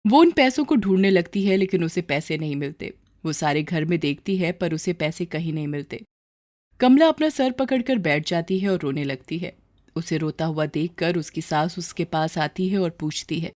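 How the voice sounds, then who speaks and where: A woman's voice: on the low side, lively intonation, normal speed, a little mellow, no echo, studio quality. A woman storyteller giving scripted narration of a story.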